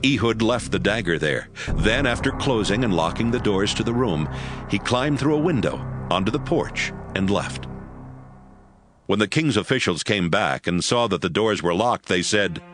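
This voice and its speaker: A man reads scripture as a narrator in a steady, measured way. His voice sits low. Music plays clearly behind him throughout. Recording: narration over background music.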